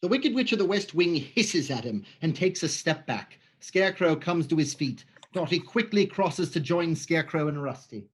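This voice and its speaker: Male narrator for stage directions, plain and matter-of-fact, slightly higher pitch. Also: animated, moderate pace, hardly any pauses, slightly rough.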